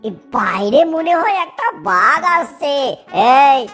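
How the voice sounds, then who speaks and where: A man's voice: very high-pitched, very animated, takes it slowly, sharp tone, soft background music, exaggerated voice. A man voicing a wily, harried father fox in a children's fairy-tale cartoon, exclaiming as he goes. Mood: scheming.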